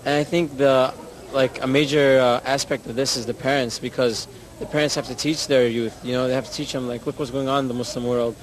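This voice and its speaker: A man's voice: medium pitch, steady tone, fairly quick, few pauses, poor audio. A young man answering interview questions casually and hesitantly, searching for his words. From United States, born in Syria. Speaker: youth conference interviewee.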